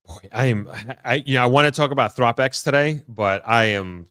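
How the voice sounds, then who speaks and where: A tired man hosting a casual livestream, thinking aloud in an informal, halting way as he answers comments. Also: medium pitch, fairly bright tone, dry recording, studio quality. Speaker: livestream host. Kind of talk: casual livestream chat, reading out viewer comments.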